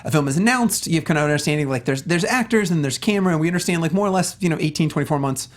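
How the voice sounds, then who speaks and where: A man's voice: fairly high pitch, rapid-fire. A man co-hosting a casual film discussion show, speaking with emphatic, earnest enthusiasm. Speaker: talk show co-host.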